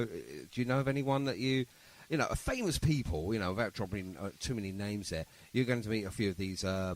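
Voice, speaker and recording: A man's voice: medium pitch, low-quality recording. A man hosting a casual radio interview in a studio, talking in a chatty, rambling, enthusiastic way. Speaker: radio show host.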